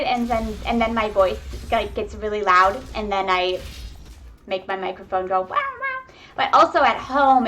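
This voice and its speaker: A young woman giving excitable, chatty live commentary on a video game match, talking to her viewers from home on a webcam microphone. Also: a little high. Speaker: esports caster. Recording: webcam mic with faint noise.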